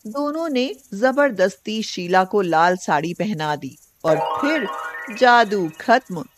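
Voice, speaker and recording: Slow-paced woman narrating a story. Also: mid-range voice, lively intonation, soft background music.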